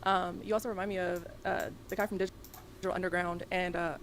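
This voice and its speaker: A woman of thirty-two, a dating show contestant, giving a frank, measured critique. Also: lowish voice, level tone, slight background noise. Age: 30s.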